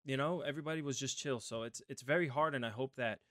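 A man from Puerto Rico talks earnestly as co-host, animated and sincere. His voice sits in a middle register, and the recording is dry and close. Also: medium pitch, fast, no room sound. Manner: earnest, animated, speaks from the heart. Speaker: podcast co-host. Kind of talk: casual chat.

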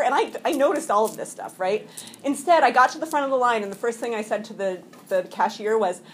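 A woman around forty talks to a support group in a meeting room, a little sniffly from a cold. Her speech is halting and full of fillers and restarts, broken up by frequent laughs. Faint room noise sits under her voice. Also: on the high side. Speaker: recovery meeting speaker. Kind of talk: personal share at a support meeting.

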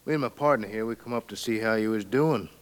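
An actor playing a grasping, callous American frontier claim-jumper in a radio western, talking in a sly, folksy, casual way. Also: male voice, on the low side, quick pace, lightly acted. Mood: sly, greedy. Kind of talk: 1950s radio western acting.

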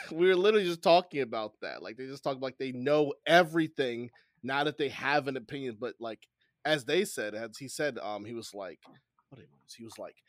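A man co-hosting a casual podcast chat, expressive and rambling, laughing often. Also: fairly high pitch, no echo, studio quality. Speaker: podcast co-host.

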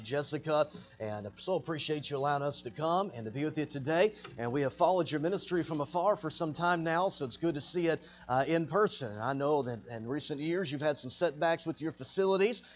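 A man, a traveling evangelist preaching to a church congregation, rising to loud, emphatic, drawn-out stresses. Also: on the high side, highs cut off. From Texas. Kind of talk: sermon. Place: church sanctuary.

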